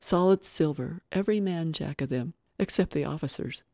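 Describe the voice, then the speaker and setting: A woman playing an indulgent, knowing lady companion in a storybook, brisk and confiding. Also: sits low, vocal fry, mellow, dry recording, studio quality. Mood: amused, proud.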